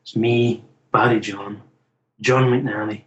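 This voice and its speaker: A man's voice: fairly low pitch, steady tone, takes it slowly, a bit bright, dull audio, a little hiss or hum. A middle-aged man from Scotland with a Scottish accent, a comic book writer chatting as an interview guest in a wry, joking way. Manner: chatty, wry storyteller with a dry joking humor. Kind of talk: casual interview chat.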